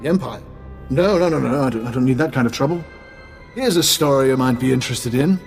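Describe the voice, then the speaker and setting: An actor playing a shady retired tycoon in a video game, guarded, brusque and condescending. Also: male voice, medium pitch, fast talker, a little mellow, natural voice. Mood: alarmed. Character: wary, dismissive, shady retired male tycoon. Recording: faint game music behind the voice.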